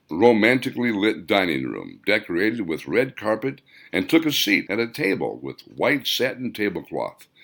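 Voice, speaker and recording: A man's voice: lowish voice, fairly bright tone. A man reading a scripted story aloud as the author and narrator of an audiobook.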